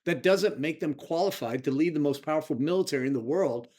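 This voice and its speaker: Casting: American male activist host, slightly high voice, indignant and emphatic delivery. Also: a little fast, a little mellow. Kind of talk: impassioned spoken political advocacy.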